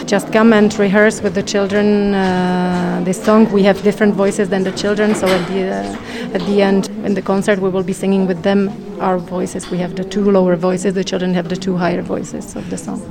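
A woman's voice: medium pitch, level tone, moderate pace, vocal fry, hardly any pauses, a crowd in the background. A woman in her thirties or forties from the Czech Republic, with a Czech accent, answering interview questions as a parent in hesitant, restarting English. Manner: hesitant, many fillers and restarts.

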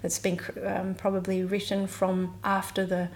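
Hesitant woman, middle pitch. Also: monotone, moderate pace. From Australia. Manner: hesitant, many fillers and restarts. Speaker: guest musician. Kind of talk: casual interview chat.

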